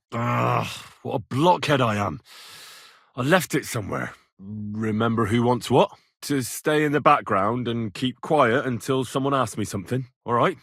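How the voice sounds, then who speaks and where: Young medieval commoner, slightly rough husky voice, animated pitch, earnest, cheeky, colloquial, video game dialogue. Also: male voice, no echo, clean studio sound. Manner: colloquial, questioning, bantering.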